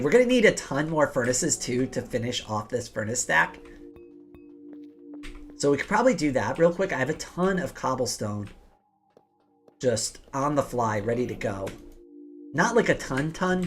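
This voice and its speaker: A woman hosting a game livestream in a rough, slightly rattly voice, hoarse from coughing. She chats casually and explains her plans as she plays, with music behind her. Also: very deep voice, sing-song, moderate pace, long pauses. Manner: chatty, explains plans aloud while playing. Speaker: livestream host. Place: home office.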